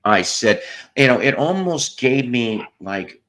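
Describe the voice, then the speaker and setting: A man hosting a casual chat show, telling a long story in a relaxing voice, rambling with pauses and restarts. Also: medium pitch, sing-song, fairly bright tone. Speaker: host.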